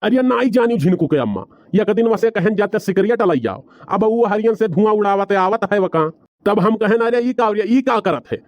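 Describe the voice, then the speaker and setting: A man's voice: high-pitched, expressive, moderate pace, no room sound. A man voicing an argumentative, excuse-making village husband with an Awadhi accent in a cartoon comedy. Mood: defensive. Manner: rambling, bantering, colloquial.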